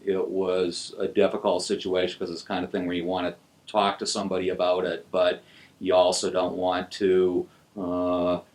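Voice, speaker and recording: A man in his sixties from North Dakota, speaking hesitantly in a documentary interview with frequent pauses and fillers. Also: low-pitched. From North Dakota, USA. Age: 60s. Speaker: interviewee recalling school bullying.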